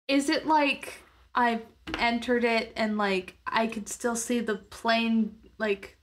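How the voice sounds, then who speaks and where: A woman's voice: fairly high pitch, fairly even intonation, slow pace. A woman playing a tabletop roleplaying game, casually describing her character's actions in a thoughtful, hesitant way. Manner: thoughtful, hesitant, searching for words.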